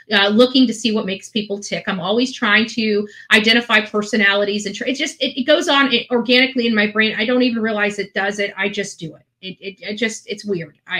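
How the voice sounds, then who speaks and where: A woman's voice: mid-range voice, bright, forceful tone. A woman host talking casually and ramblingly to her online followers, earnest and emphatic, often repeating phrases for effect. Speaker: online video channel host.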